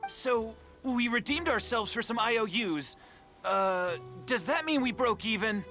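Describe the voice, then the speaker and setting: A man's voice: very high-pitched, expressive, a little slow, music in the background. A man voicing a dim, eager bandit underling in a video game, hapless and exaggerated. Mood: confused.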